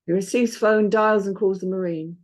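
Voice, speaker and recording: A woman's voice: mid-range voice, fairly even intonation, moderate pace. A woman reading out stage directions as scripted narration.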